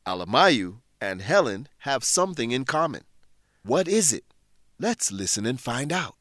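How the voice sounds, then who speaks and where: A man hosting and narrating a scripted radio drama for English learners, with deliberate, announcer-like enunciation. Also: medium pitch, big pitch swings, fairly bright tone, no room sound, clean studio sound. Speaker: narrator and host.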